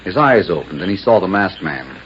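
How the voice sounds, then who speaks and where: A man's voice: sits low, big pitch swings, fairly quick, background noise. A man reading scripted narration for a 1940s radio western drama. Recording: muffled 1940s radio recording with music. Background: music.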